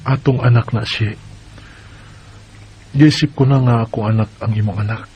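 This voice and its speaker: An actor playing a devoted, playful young husband in a sentimental radio drama, tender and earnest. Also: male voice, mid-range voice, moderate pace, a little mellow, slightly rough. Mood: tender, teasing. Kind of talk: sentimental radio drama acting with first-person narration. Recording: dull lo-fi radio sound with music.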